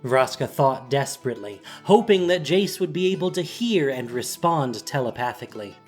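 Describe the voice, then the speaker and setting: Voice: mid-range voice, animated, moderate pace, soft background music. A narrator reading a scripted, dramatic story for an audio drama.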